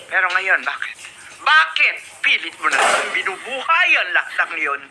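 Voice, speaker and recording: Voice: mid-range voice, sing-song, normal speed. An actor in a radio drama voicing a stern, proud older mother who scolds her daughter. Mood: reproachful. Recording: noisy radio broadcast.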